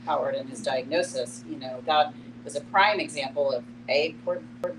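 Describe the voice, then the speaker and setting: A woman's voice: low voice, moderate pace. A woman moderating a casual group discussion in a conversational way, repeating words for emphasis. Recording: clear background noise and hum.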